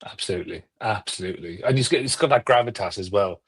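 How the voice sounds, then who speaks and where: A man's voice: on the low side, quick pace, breathy edge. A man chatting casually and enthusiastically as a podcast panelist, emphatic and often repeating himself for effect. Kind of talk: casual fan chat.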